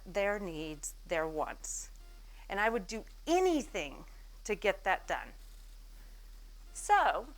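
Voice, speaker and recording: A woman's voice: mid-range voice, a bit bright, rough recording. A woman in her forties giving a business talk on stage, telling her story with self-mocking humor and acting out the people she quotes. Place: event stage before an audience.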